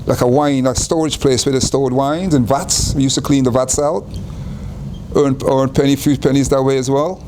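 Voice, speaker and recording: A man's voice: medium pitch, a little mellow, a little hiss or hum. Older Guyana-born man recalling his childhood. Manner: unhurried, repeats and restarts phrases. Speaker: interviewee. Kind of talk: reflective life-story interview.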